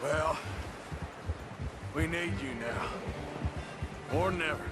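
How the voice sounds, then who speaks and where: A man's voice: a little high, drawn-out words, loud music behind the voice. A man playing a rugged, wry, loyal outlaw in a Western video game, with an American Western drawl and folksy, laconic talk. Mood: earnest, loyal. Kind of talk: video game dramatic dialogue, conversational.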